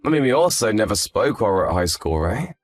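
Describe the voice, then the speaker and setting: A man's voice: fairly low pitch, sing-song, quick pace. A man playing an earnest, frank young husband in scripted story dialogue, speaking expressively. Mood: chatty, frank.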